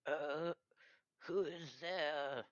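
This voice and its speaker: A man voicing a frail, kindly, sick old grandmother in a storybook, calling out slowly and hesitantly. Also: a little high, no room sound, pitched above the performer's own voice. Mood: feeble. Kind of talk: storybook character voice. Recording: dry, dull lo-fi recording.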